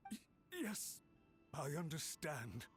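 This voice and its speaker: A man's voice: a little high, lively intonation, takes it slowly, soft background music. A man playing a desperate, deferential government official in a video game, pleading and apologetic. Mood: subdued, deferential. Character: desperate, groveling male government official begging for mercy. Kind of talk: scripted video game voice acting.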